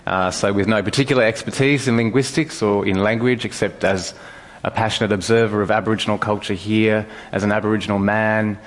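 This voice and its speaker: A man's voice: on the low side, fairly even intonation, fairly quick. A man from Australia, speaking unscripted as a journalist on a panel. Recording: echoey hall reverb.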